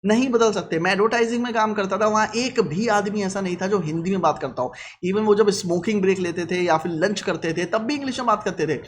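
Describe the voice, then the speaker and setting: Blunt high-voiced man. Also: fast talker, hardly any pauses, a little hiss or hum. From Lucknow, India. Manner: blunt, lecturing, mixes English phrases into Hindi. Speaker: vlogger. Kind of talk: casual advice monologue.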